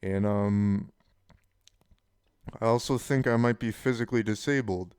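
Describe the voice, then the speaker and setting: Deep, smooth-voiced young man rambling casually. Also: fairly even intonation, measured pace, darkish tone, no room sound, clean studio sound. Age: 20s. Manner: casual, frank, swearing. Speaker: channel host. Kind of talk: rambling personal monologue.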